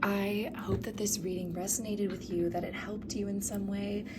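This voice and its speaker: A woman reading tarot cards for her viewers, speaking softly and affirmingly, often slipping into a whisper, her voice going from a cough. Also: medium pitch, level tone, normal speed, very noisy. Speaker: tarot reader. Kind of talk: pick-a-card tarot reading.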